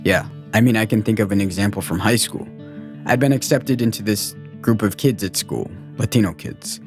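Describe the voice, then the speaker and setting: Low, creaky young American man. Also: normal speed, faint music behind. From United States, born in Guatemala. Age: young adult. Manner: candid, informal. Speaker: podcast co-host telling his own life story. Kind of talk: reflective personal conversation.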